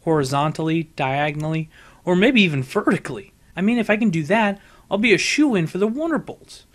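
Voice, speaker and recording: A man's voice: high-pitched, expressive, a little fast, voice pushed up. A man voicing a brash, boastful young female pegasus pony in a storybook reading, cocky and emphatic. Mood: confident, eager. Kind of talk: fan fiction audiobook character voice.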